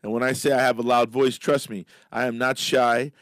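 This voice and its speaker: A man's voice: mid-range voice, flat delivery, fairly quick, no echo, studio quality. A middle-aged man from Miami, a guest on a radio show, talking emphatically with many fillers and restarts. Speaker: radio guest, business leader. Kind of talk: casual radio interview. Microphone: studio microphone.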